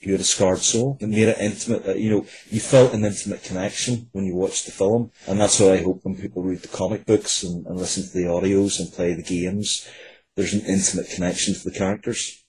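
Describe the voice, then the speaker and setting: A man's voice: low-pitched, fairly even intonation, brisk, a little mellow, slight background noise, rough recording. A man with a Northern Irish accent talking earnestly and reflectively as a writer being interviewed. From Northern Ireland. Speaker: guest, writer. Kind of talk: conversational interview talk.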